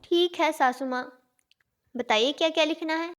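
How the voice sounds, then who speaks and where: A woman's voice: high-pitched, moderate pace, heavy background noise, pitched above the performer's own voice, natural voice. A woman voicing a young, boastful daughter-in-law in a Hindi cartoon story, with a showy, falsely innocent manner. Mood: compliant. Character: boastful young daughter-in-law bragging about her parents' home.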